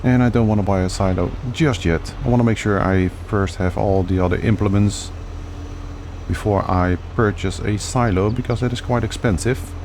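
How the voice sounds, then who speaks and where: A man giving relaxed, casual gameplay commentary, thinking aloud with many fillers, his voice a little worn as if he is losing it. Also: lowish voice, normal speed, a little hiss or hum. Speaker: gaming channel host.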